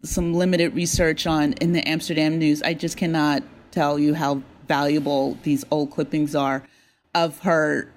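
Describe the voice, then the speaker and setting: A woman being interviewed as a drama creator, talking casually with an animated, enthusiastic manner. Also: low-pitched, measured pace.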